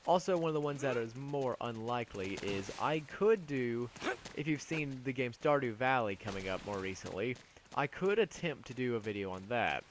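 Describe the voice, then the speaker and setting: A man's voice: mid-range voice, expressive, normal speed, noisy background. A man giving casual, rambling, self-deprecating video game commentary as a let's-play host. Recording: loud game music behind voice. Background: loud game music.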